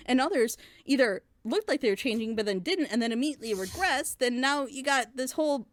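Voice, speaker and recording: Exasperated woman giving blunt opinions, slightly high voice. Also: no echo. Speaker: reviewer in a group reaction show. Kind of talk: casual opinionated episode critique.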